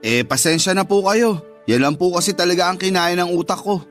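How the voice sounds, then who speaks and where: A man's voice: sits high, fairly quick, soft background music, natural voice. A man playing a polite but defensive Filipino teenage student in a radio drama, pleading his case. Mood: defensive, sheepish. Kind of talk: Tagalog radio drama acting.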